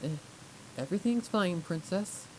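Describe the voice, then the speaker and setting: A man's voice: high voice, expressive, moderate pace, voice pushed up. A man voicing a nervous, earnest young female pony student in an audiobook, hesitant and flustered. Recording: clearly noisy recording.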